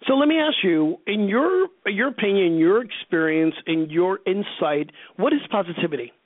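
A man with a distinctly high voice hosts a conversational interview through narrow, phone-like audio. The sound is dry, and he speaks emphatically, affirming his guest and repeating key phrases. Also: lively intonation, moderate pace, dry recording. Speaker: interview show host.

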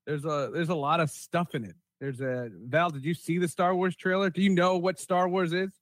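A man with a fairly high voice hosts a casual podcast. He rambles excitably and repeats himself for emphasis, recorded close and dry. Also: normal speed, no room sound. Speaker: podcast host.

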